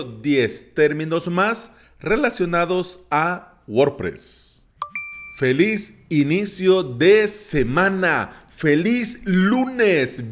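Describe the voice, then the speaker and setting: Slow, playful man teaching. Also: fairly high pitch. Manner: playful, chatty explainer with jokey asides. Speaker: podcast host. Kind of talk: solo tutorial podcast.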